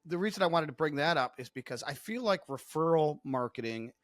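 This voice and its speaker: A man's voice: on the high side, lively intonation, fairly quick, a bit bright, dry recording. Male podcast host, dry close sound. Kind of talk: podcast interview.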